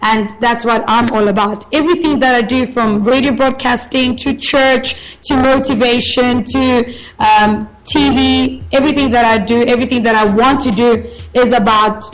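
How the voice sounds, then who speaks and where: A woman's voice: on the high side, steady tone, moderate pace, hardly any pauses, rough recording. A woman, a radio broadcaster giving an earnest, motivational interview and repeating her key phrases for emphasis. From South Africa. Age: 20s.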